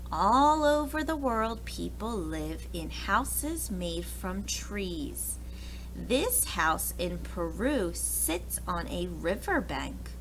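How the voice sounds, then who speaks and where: A woman's voice: on the low side, a bit bright, poor audio. An American woman, a preschool teacher, reads a picture book aloud expressively, addressing young children with questions and asides. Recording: clear background noise.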